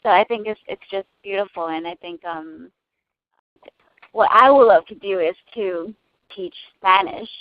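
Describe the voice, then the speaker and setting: A young woman who grew up speaking Cantonese, talking in a casual interview in an earnest, thoughtful way with frequent pauses to find her words. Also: mid-range voice. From United States. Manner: earnest, thoughtful, many hesitations. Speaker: interview guest. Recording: dull lo-fi dry recording.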